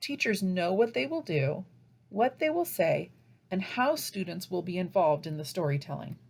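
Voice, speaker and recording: An American woman narrating a scripted instructional presentation as a teacher trainer, explaining in a measured, didactic way. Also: lowish voice, sing-song, gravelly, darkish tone, husky.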